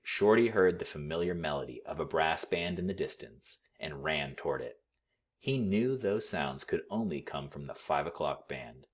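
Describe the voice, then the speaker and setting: A man reading a picture book aloud to children in an expressive, teacherly way. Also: extremely low pitch, slightly rough. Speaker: school read-aloud storyteller.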